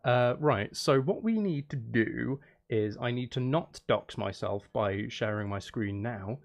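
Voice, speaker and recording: A man's voice: medium pitch, a little slow, creaky. A man chatting casually to viewers on a livestream, rambling playfully, cutting himself off and repeating phrases. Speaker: live streamer, music and game maker. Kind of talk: casual livestream chat with viewers while working.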